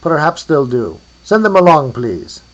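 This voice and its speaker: A man's voice: on the high side, very animated, unhurried, lo-fi sound, pitched above the performer's own voice. A man voicing a courteous, exacting grave robber with a Hungarian accent and a formal manner, from a storybook. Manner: formal, polite.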